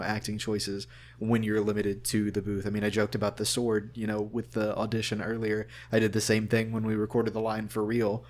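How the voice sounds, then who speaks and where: A man speaking as a voice actor in a casual interview, in an earnest, rambling way. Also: fairly low pitch.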